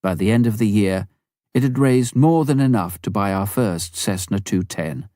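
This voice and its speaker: A man reading a memoir aloud as scripted narration, with measured, expressive storytelling delivery. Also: fairly low pitch, no room sound, clean studio sound. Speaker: audiobook narrator.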